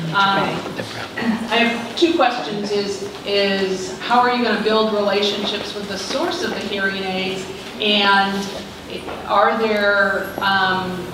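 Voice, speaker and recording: A woman acting as a judge, giving friendly feedback and asking questions after a pitch. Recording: echoey hall from far off with noise.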